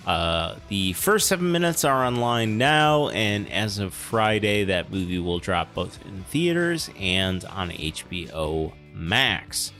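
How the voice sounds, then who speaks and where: A man with a fairly low voice and very lively intonation hosts a casual podcast. He jokes and riffs in an easy, conversational style. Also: soft background music. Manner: joking, animated, quick to riff. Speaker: podcast host. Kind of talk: casual movie chat.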